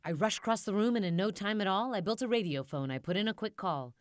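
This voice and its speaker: A woman reading a rhyming children's storybook aloud in a rhythmic, theatrical, expressive way. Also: mid-range voice, fast, dry recording, studio quality. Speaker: storybook reader.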